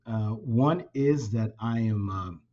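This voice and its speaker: A man's voice: on the low side, slow pace, warm tone. A man in his fifties, a native Spanish speaker raised in California, talking as a company president in a casual interview, with many fillers and restarts. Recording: dull lo-fi sound.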